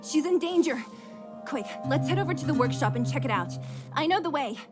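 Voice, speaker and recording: A woman voicing a brash, protective young female fighter in a video game, blunt and expressive. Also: high voice, a little fast, over music. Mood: alarmed. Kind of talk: video game cutscene voice acting.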